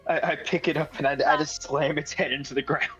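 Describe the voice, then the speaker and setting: A man's voice: a little high, flat delivery, brisk, sharp tone, muffled recording. A man bantering casually as a player in a tabletop role-playing game. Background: music.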